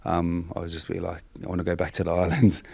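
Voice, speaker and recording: A Samoan-born man, a Samoan speaker, answering interview questions softly and hesitantly with many fillers. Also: low-pitched, little pitch movement, fast, creaky, breathy edge, no room sound. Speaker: guest.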